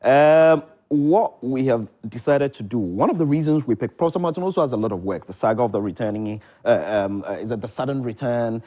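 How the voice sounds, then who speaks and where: A man's voice: mid-range voice, expressive, brisk, few pauses, warm tone. A man with a Ghanaian accent talking as a theatre director in a promotional interview, emphatic and repeating phrases for effect. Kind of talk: lively promotional interview chat.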